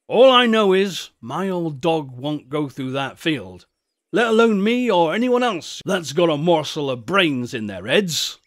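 A man's voice: a little high, very animated, measured pace, pitched above the performer's own voice. A man voicing a superstitious old shepherd in a storybook, with a rustic English country dialect and a grave, folksy, emphatic way of warning. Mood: wary.

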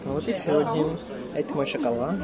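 A woman's voice: deep voice, brisk. A woman vlogging in casual, spontaneous chat with an appreciative, exclaiming manner. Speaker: vlogger filming an expo visit. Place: large busy indoor expo hall. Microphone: handheld camera or phone mic. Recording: noisy crowded hall, loud instruments playing. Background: crowd chatter and melodic percussion instruments.

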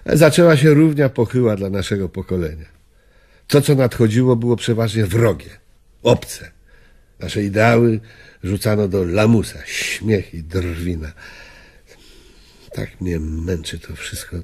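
An actor playing a weary, nostalgic old gentleman in a radio drama, speaking wistfully in halting, declamatory phrases. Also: on the low side, husky. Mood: weary, bitter. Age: older.

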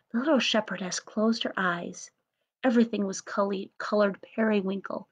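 A woman's voice: mid-range voice, husky. A woman in her thirties narrating a scripted storybook tale as storyteller, recorded on a webcam in a room at home. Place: small home room indoors. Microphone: webcam or laptop at arm's length. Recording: slightly dull webcam audio.

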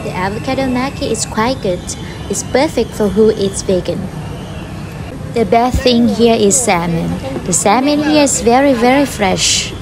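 A woman's voice: fairly high pitch, expressive, a crowd in the background, rough recording. A woman food vlogger with a Vietnamese accent, speaking English as a second language in a voice-over review with simple, friendly phrasing. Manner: simple non-native phrasing, slow and friendly. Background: background music.

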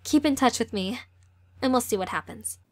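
Woman as cautious teenage girl, high voice, earnest, amateur audio drama, dry recording. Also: moderate pace, a little mellow, dry recording, natural voice. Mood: earnest, hesitant. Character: earnest, cautious sixteen-year-old girl in love.

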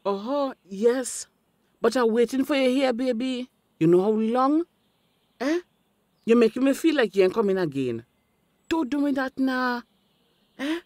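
Older female busybody auntie, Caribbean accent, high swooping voice, scolding and indignant, radio play. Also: expressive, a little slow, long pauses, a little mellow, no echo. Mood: secretive. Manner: scolding, colloquial Creole. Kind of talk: radio drama dialogue.

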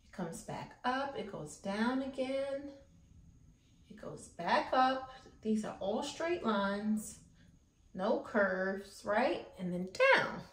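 A woman's voice: a little high, fairly bright tone. A woman in her thirties teaching young children as a preschool teacher, encouraging them and often checking in with questions. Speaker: preschool teacher hosting an art lesson. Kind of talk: instructional talk to young children. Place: small indoor classroom-style room. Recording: echoey indoor room.

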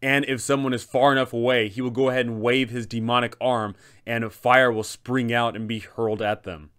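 A man in his thirties hosting a tabletop game guide, telling the story in an emphatic, deliberate way. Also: mid-range voice, fairly even intonation, fairly bright tone, clean tone. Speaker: host and game master giving advice.

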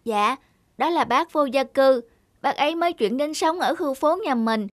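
A woman's voice: high voice, normal speed, no echo, studio quality, close to an everyday voice. A woman voicing a polite, earnest, sickly little schoolboy in a cartoon. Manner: polite, soft-hearted, earnest. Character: gentle, sickly young schoolboy, polite and compassionate. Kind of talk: cartoon dubbing. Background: background music.

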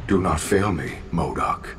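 An actor playing a cold, commanding inhuman overlord in a video game, speaking in a stern, measured, threatening way. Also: male voice, sits low, over loud music. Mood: cold menace. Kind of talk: video game villain acting.

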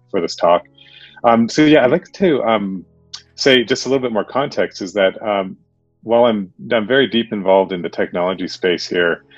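A man with a very low voice gives a casual, halting webinar talk, fillers scattered through his sentences. The sound is dull and dry, as video-call audio often is. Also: dry recording. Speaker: guest speaker, tech entrepreneur. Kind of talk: webinar presentation.

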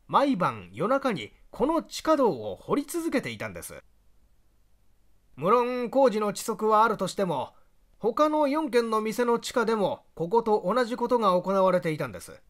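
Mystery audiobook role: male reader as a self-assured investigator, high voice with lively swings, laying out facts emphatically and courteously. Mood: assured explaining. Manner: emphatic, expository, polite address. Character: confident male investigator explaining a spy plot to listeners. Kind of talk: audiobook character dialogue.

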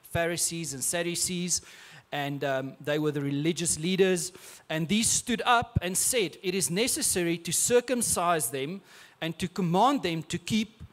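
A man in his thirties with an Afrikaans accent, preaching a sermon on a church stage into a handheld mic in a relaxed, conversational way with restarts. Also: a little high, unhurried. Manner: conversational, with frequent filler and repeated words. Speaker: pastor. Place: large church stage, indoors.

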